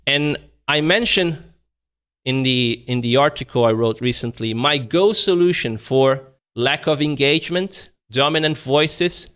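A man in his thirties hosting a live webinar over a video call, talking casually and raising and lowering his energy to make his points. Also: measured pace, fairly bright tone, clear, resonant tone. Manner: shifts voice energy up and down to demonstrate, conversational. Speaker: webinar presenter. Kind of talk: live online webinar talk. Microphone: video call webcam.

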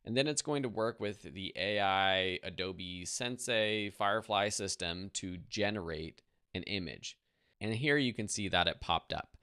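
Low-voiced man giving a tutorial. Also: measured pace, bright, forceful tone, clear, resonant tone, no room sound, clean studio sound. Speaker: software tutorial instructor. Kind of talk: casual step-by-step screen tutorial.

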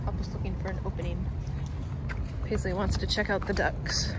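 A woman's voice: mid-range voice, flat delivery, normal speed. Mom from the states vlogging a road trip on her phone, chatty play by play, lots of car and outdoor noise. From United States. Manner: chatty, narrating each step of the day. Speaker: mother filming the family vlog. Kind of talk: casual running commentary to camera. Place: inside a car, then outdoors in a park by a lake. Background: road noise, wind and outdoor ambience.

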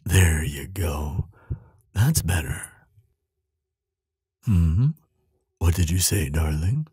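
A man playing a gentle, affectionate giant in an intimate ASMR audio roleplay, soothing and earnest, murmuring tenderly with soft hums and sighs. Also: sits low, expressive, extremely slow, slightly rough, no echo, exaggerated voice. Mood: tender, coaxing.

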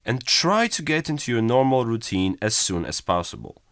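A man's voice: medium pitch, sing-song, moderate pace, fairly bright tone, no echo. Man reading expressively, dry studio. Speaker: exam recording reader. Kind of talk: scripted reading for a listening test.

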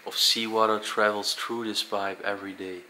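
A man's voice: fairly low pitch, flat delivery, normal speed, sharp tone, a little hiss or hum. Young man narrating an abandoned aquarium. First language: Dutch. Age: young adult. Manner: curious, narrates what he sees, short laughs. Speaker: urban explorer. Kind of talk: casual exploring vlog. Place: dark abandoned indoor aquarium.

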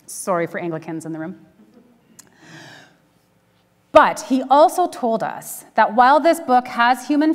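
A middle-aged woman preaching a conversational sermon with dry humour and deliberate pauses, from a church pulpit. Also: medium pitch. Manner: wry, dryly humorous, with deliberate pauses. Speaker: minister preaching. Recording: echoey church hall, long reverb.

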